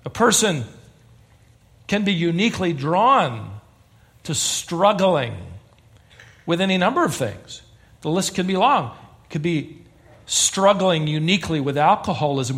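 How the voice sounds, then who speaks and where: A man's voice: a little high, animated, unhurried, fairly bright tone. A man preaching a sermon to a congregation in an earnest, emphatic way, pressing his points with rising intensity. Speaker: pastor.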